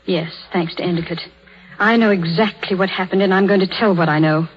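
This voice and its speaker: An actress playing a fiercely loyal, blunt and indignant young nurse in a 1930s radio drama. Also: female voice, on the low side, brisk, slightly rough. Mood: controlled anger. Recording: muffled, noisy 1930s radio broadcast.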